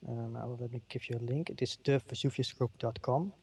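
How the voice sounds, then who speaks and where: A man's voice: mid-range voice, fairly even intonation, fast talker, darkish tone, slightly rough. A middle-aged man from the Netherlands with a Dutch accent, giving a conference keynote with many ums and uhs. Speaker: keynote speaker. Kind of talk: conference keynote in a virtual world.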